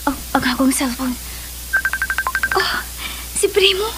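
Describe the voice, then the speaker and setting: Radio drama girlfriend: young, affectionate, high and slow, Cebuano accent, hissy phone scene. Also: animated. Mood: surprised. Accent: Filipino Cebuano. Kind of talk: Philippine radio drama acting. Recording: phone call scene with clear hiss.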